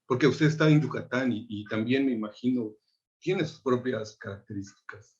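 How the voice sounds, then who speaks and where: A man moderating an online panel, halting and restarting often as he speaks. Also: medium pitch, a little mellow, lo-fi sound. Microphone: video call.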